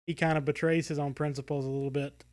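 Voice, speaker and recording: Male podcast co-host, slightly higher-pitched, casual and discursive, halting delivery. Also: level tone, a little mellow. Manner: rambling, halting, self-correcting.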